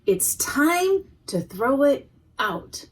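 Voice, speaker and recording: A woman's voice: sits high, sing-song, drawn-out words, slight background noise, pitched above the performer's own voice, heavily acted. A woman voicing a practical, scolding mother in a storybook, firm and emphatic. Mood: firm, disapproving.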